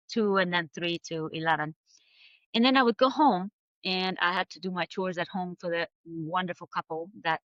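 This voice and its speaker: A woman's voice: fairly low pitch, fairly bright tone, dry recording, dull audio. Farsi-native woman telling her life story. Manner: earnest, halting, many fillers and restarts. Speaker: guest. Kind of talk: personal storytelling in a podcast interview.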